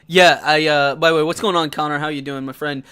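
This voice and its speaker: A man's voice: a little high, steady tone, fast, bright, forceful tone, clear, resonant tone. Enthusiastic young man hosting a live stream. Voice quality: swears freely, loose and enthusiastic. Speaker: host of a tabletop game talk show. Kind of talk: casual live-stream chat.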